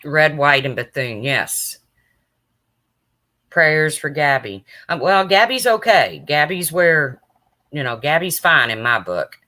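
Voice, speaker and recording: Deep raspy woman chatting on a live stream. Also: very animated, slow pace, sharp tone. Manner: chatty, answers viewers' comments aloud by name. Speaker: true crime livestreamer. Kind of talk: casual live stream chat.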